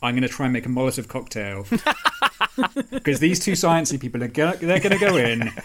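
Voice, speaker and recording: A man's voice: mid-range voice, animated, brisk, a little hiss or hum. A man playing a tabletop game, improvising casually in character with a dry, weary, sardonic manner.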